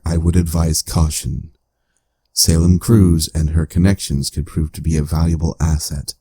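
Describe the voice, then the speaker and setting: A man voicing a coldly analytical artificial intelligence in a cyberpunk audio drama, speaking in a formal, measured, clinical way. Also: rumbling low, dark tone, dry recording, clean studio sound. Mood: cool, cautioning.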